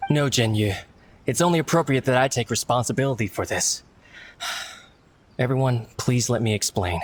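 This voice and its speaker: An earnest young office man from a video game, played by an actor, with a mid-pitched voice. He explains himself politely and contritely, in measured sentences. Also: natural voice. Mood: apologetic, explaining. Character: earnest, apologetic young male book editor.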